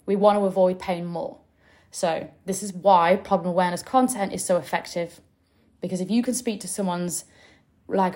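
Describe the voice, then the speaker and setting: Young woman coach, middle-pitched voice, chatty and direct. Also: darkish tone. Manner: chatty and direct, with occasional laughs and mild swearing. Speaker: business coach and podcast host. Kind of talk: casual teaching podcast monologue.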